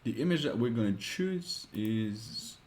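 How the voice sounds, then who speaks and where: A man with a Dutch accent, a Dutch native speaker, narrating a screen-recorded web design tutorial, thinking aloud with many pauses and fillers. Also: fairly low pitch, expressive, darkish tone, slight background noise.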